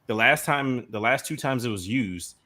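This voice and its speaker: A man with a bright, forceful voice, co-hosting a casual podcast. He chimes in with quick agreement, then explains matters earnestly. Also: mid-range voice, animated. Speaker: podcast co-host. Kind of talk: casual chat.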